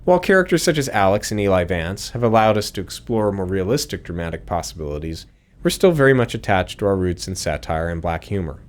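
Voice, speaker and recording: A man's voice: sits low, big pitch swings, fast. A man speaking as a game developer, reading scripted commentary.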